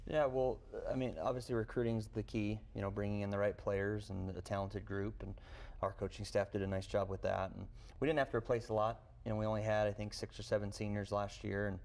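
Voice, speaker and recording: A man's voice: fairly low pitch, gravelly, darkish tone. A man, a coach, giving casual answers as a guest in a studio sports interview.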